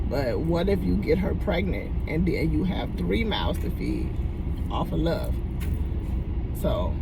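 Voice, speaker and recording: A woman's voice: deep voice, recorded from a distance, heavy background noise. A woman of fifty speaking bluntly and emphatically to her followers, repeating her points firmly and chuckling now and then. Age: 50s. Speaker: vlogger. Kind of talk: casual monologue to followers.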